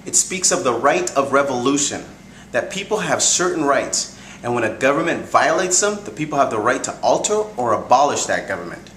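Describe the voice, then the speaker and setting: A man giving an earnest, measured, prepared introduction as a presenter. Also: medium pitch, very animated, a bit bright. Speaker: presenter introducing a group reading. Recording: echoey room, faint background noise.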